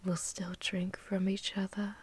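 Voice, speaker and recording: An ancient, ruthless vampire mistress, acted by a woman for an ASMR audio roleplay. She speaks slowly and softly into a close, dry microphone, often sinking into breathy whispers. Her manner is haughty and possessive, with a teasing, seductive edge. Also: mid-range voice, level tone, no room sound. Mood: tender, teasing. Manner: slow, teasing, haughty, commanding. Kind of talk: intimate ASMR audio roleplay, monologue to listener.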